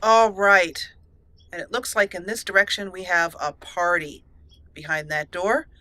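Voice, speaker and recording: A woman's voice: on the low side, steady tone, unhurried, sharp tone, no echo. A woman hosting a let's play, chatting through her gameplay in an upbeat way and reading the game's text aloud as roleplay narration. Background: faint game music.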